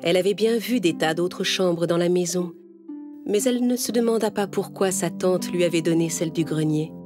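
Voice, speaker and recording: A woman's voice: on the low side, moderate pace, over music. A woman storyteller reading a scripted children's tale as narration.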